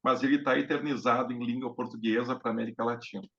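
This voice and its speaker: Gravelly Brazilian man hosting a live talk. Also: mid-range voice, steady tone, fast. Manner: hesitant, many fillers and restarts. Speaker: host of a live talk on music therapy. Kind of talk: casual live-stream lecture. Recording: dull lo-fi live-stream audio.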